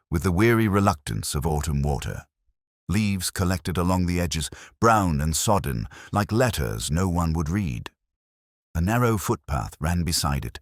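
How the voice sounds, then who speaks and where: A man narrating a literary detective story in a measured, reflective, melancholy manner. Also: extremely low pitch, no room sound, studio quality.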